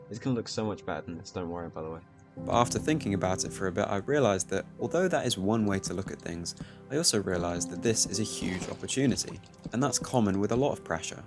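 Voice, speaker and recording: A teenage man speaking calmly and reflectively, commenting over his gameplay. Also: fairly low pitch. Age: teens. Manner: soft-spoken, reflective. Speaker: YouTuber. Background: faint music.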